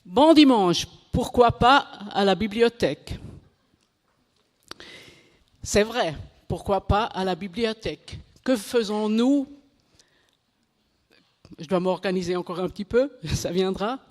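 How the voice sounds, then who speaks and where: Slow-speaking woman in a reverberant hall. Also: medium pitch, lively intonation, a little mellow. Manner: French is not her first language, halting with restarts and self-corrections. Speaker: conference speaker. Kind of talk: prepared conference talk. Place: conference hall.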